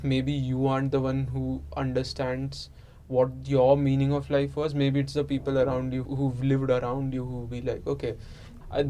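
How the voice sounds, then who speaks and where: A man's voice: mid-range voice, dark tone, slight background noise. A man thinking aloud in an unscripted, reflective group conversation, with a thoughtful, musing manner. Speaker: discussion participant.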